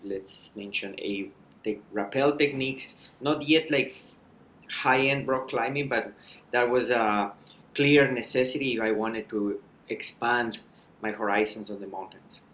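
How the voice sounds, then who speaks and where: A man, a mountain guide talking as a podcast guest in a measured, thoughtful way with frequent restarts. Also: mid-range voice, a bit bright, rough recording. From Ecuador. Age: 30s. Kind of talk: thoughtful conversational storytelling.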